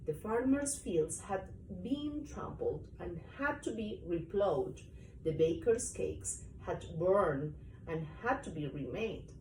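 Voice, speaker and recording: A woman reading a children's story aloud to a young child in an animated, expressive way. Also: medium pitch. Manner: expressive, acts out story parts. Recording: roomy, distant mic, faint noise.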